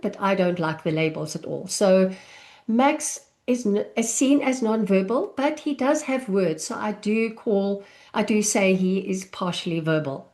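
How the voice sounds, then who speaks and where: South african lady in her 50s teaching a live online class, takes her time, chatty, video call mic. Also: medium pitch. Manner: warm, unhurried, chatty with small laughs.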